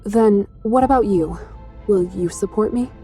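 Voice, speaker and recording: An actress playing an earnest, searching young heroine in a sci-fi game, speaking softly and hesitantly with feeling. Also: female voice, mid-range voice, a little slow, slightly rough, soft background music. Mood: wistful, pleading. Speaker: voice actor in a game cutscene. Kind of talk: dramatic game voice acting.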